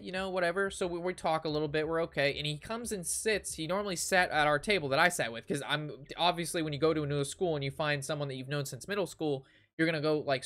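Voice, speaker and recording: Young male streamer, American. Sharp, forward voice, casual rambling anecdotes with exaggerated emphasis and swearing, audible noise behind. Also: fairly high pitch. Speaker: live streamer and storyteller. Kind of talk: casual anecdote told to chat while gaming.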